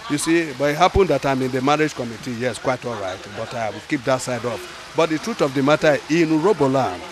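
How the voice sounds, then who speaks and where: A man's voice: mid-range voice, animated, brisk, crowd noise. An older man with a Nigerian accent, answering a street interview in a rambling way with pidgin phrases, into a handheld mic outdoors. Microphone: handheld mic held by interviewer.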